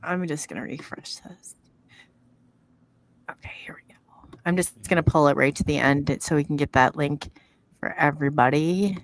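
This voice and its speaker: A woman's voice: low-pitched, moderate pace, long pauses, no echo. A middle-aged woman with an Iowan accent hosts a casual live-stream chat, talking in a rambling way that trails off as she works the screen. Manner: chatty and rambling, trails off while working the screen. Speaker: podcast host. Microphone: video call.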